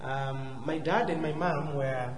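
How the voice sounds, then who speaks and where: A man's voice: fairly high pitch, takes it slowly. Male guest speaker, southern African accent, courteous and hesitant, echoey live room with faint noise. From Zambia and Malawi. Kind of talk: personal testimony to a congregation. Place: large church hall, live before a congregation.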